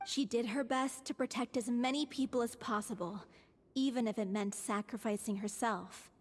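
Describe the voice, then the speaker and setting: Actress for an earnest, measured troupe spokeswoman in a game, slightly high voice, reverberant audio with faint music. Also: natural voice.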